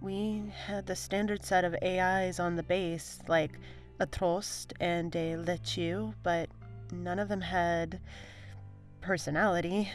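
A woman playing a lonely, anxious space traveller in an audio drama, speaking in a halting, uncertain, subdued way. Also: lowish voice, fairly even intonation, soft background music. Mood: subdued, hesitant. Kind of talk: naturalistic audio drama acting.

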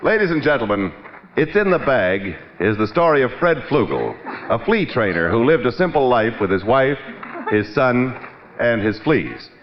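A man's voice: medium pitch, very animated, normal speed, a little hiss or hum. A man making formal, declamatory scripted announcements as a 1950s radio announcer. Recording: dull old radio broadcast, loud music.

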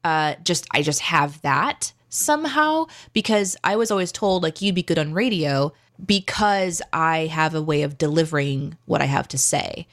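A woman co-hosts a casual podcast and stream. Her voice sits a little low for a woman. She talks in a confident, chatty way, often saying "like". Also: normal speed, hardly any pauses, a bit bright. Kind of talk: casual chat.

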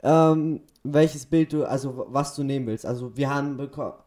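A young man co-hosting a podcast in casual chat, telling stories haltingly and often correcting himself. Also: medium pitch, steady tone, darkish tone. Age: teenager or young adult. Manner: halting, self-correcting storytelling. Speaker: podcast co-host.

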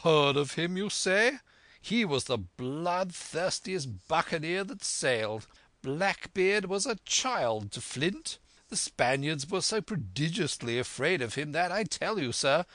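A man's voice: on the high side, fairly bright tone, no room sound, voice pushed up. A man voicing a blustering, hot-headed, pompous English squire in a storybook reading, exclamatory and emphatic. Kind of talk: audiobook character voice.

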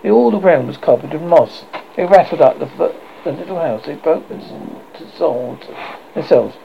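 A man reading a classic children's novel aloud, expressive but stumbling and restarting often, on a muffled lo-fi recording with faint hiss. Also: fairly high pitch, moderate pace, vocal fry. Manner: expressive, with frequent slips and restarts. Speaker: audiobook reader.

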